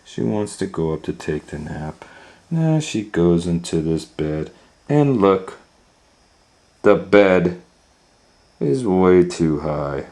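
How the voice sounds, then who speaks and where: Slow, animated male children's storyteller. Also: sits low. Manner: asks rhetorical questions, explains pictures to young listeners. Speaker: storytime reader and educator.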